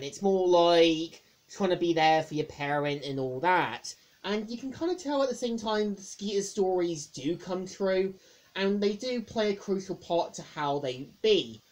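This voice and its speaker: A woman reviewing a film in a casual monologue, speaking haltingly and often repeating words. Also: fairly low pitch, darkish tone.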